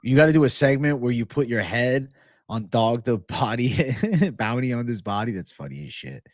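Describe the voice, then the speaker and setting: Guy streamer just chatting w viewers, swears a lot, says bro, normal pitch, dry sound. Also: animated, moderate pace, no echo. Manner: slangy, profane, bro-heavy banter. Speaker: livestream host. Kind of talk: casual livestream chat, reading and answering viewer comments.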